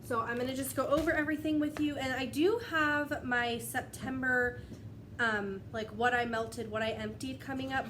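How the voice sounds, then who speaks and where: A young woman talking to her tablet camera at home, a touch higher-pitched than most and slightly stuffy from a cold. She rambles in a chatty, friendly way, laughing often. The recording carries clear background noise. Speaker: wax melt vlogger. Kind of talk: casual chat to camera. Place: at home, indoors.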